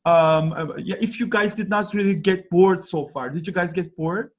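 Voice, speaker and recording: A man with a Turkish accent giving an online conference presentation, halting, with many fillers and apologies. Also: high-pitched.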